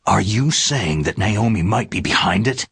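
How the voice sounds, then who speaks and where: An actor playing a gruff, skeptical special forces soldier in a video game, with a gravelly voice and terse, blunt delivery. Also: male voice, on the low side, expressive, moderate pace, fairly bright tone, no room sound, studio quality, exaggerated voice. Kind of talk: dramatic video game acting. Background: music.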